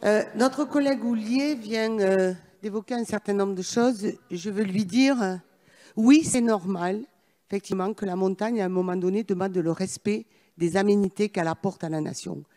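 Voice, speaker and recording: A woman's voice: mid-range voice, moderate pace, mellow. A middle-aged woman giving a formal parliamentary speech, partly read from notes, into a close microphone. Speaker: member of parliament. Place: large parliamentary chamber. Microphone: gooseneck microphone held close.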